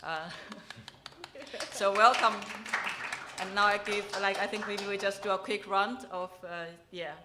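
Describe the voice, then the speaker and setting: A woman's voice: mid-range voice, steady tone, a little slow, very noisy. A woman from China moderating a film festival panel on stage, hesitant, with many restarts and fillers. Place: event hall with a panel on stage.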